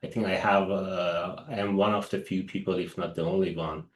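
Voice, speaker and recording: A very low-voiced man from Iran, Persian his first language, answers questions at a press briefing. He speaks earnestly and halts to restart his phrases. The sound carries a noticeable room echo. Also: fairly even intonation, normal speed, slight background noise. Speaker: guest analyst.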